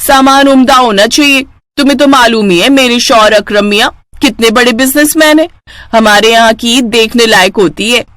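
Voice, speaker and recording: A woman's voice: fairly high pitch, moderate pace, a bit bright, dry recording, natural voice. A woman voicing a haughty, boastful rich elder sister who bosses people around in a storybook tale. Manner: boastful, ordering, dismissive.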